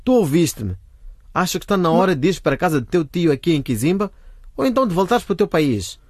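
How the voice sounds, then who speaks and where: Casting: young roommate, indignant and emphatic, very animated, slightly husky, radio drama. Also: male voice, fairly high pitch, fast, dry recording, studio quality. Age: young adult. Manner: scolding, emphatic. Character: indignant young man scolding his freeloading roommate.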